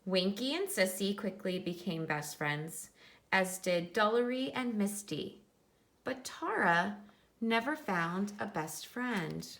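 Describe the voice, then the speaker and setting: A woman's voice: mid-range voice, expressive, slow, creaky, a bit bright. A woman teacher reading a nonfiction book aloud for children, instructive and explaining things in simple terms.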